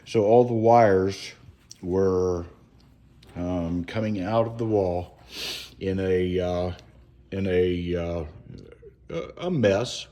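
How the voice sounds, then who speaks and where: Man, deep voice, mellow tone, halting delivery, casual DIY walkthrough. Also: muffled recording. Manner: halting, hesitant, pausing often mid-sentence. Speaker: home DIY reviewer. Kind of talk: casual unscripted hands-on walkthrough. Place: indoors at home.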